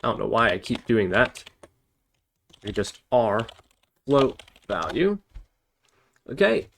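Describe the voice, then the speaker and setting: A man's voice: mid-range voice, very animated, takes it slowly, gravelly, a bit bright. A man giving a casual step-by-step programming tutorial, thinking aloud and correcting himself as he types. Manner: thinks aloud while typing, self-correcting. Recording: noisy, with keyboard typing and clicks. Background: computer keyboard typing, clicking, background noise.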